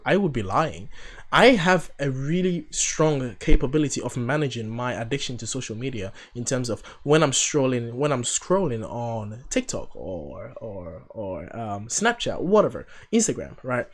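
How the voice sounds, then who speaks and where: A man in his thirties from Ghana, hosting a reaction video in a chatty, rambling, playfully sarcastic way. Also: medium pitch. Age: 30s. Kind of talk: casual reaction commentary.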